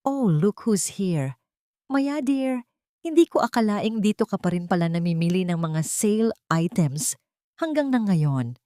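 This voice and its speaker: A woman's voice: mid-range voice, sing-song, a little slow, dark tone, no echo, clean studio sound. Woman acting a haughty, sneering rich socialite. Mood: mocking, haughty. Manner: mocking, condescending, Taglish code-switching.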